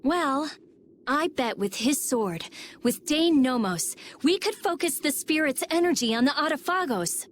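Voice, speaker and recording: A woman's voice: sits high, expressive, a little slow, a little hiss or hum. A woman voicing a brusque, clever young girl mage, blunt and snappy, in a video game cutscene. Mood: pondering.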